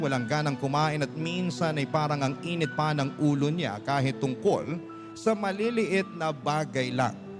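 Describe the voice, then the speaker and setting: Male radio storyteller, dramatic scripted narration, slightly high pitch, music bed clearly behind. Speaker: radio storyteller reading a listener's letter. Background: background music.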